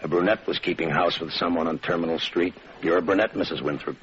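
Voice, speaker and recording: An actor playing a laconic, deadpan American police lieutenant in a vintage radio detective drama. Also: male voice, low-pitched, quick pace. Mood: accusing, cold. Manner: terse, deadpan, unflappable. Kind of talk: 1940s radio detective acting and narration. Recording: muffled, noisy vintage radio recording.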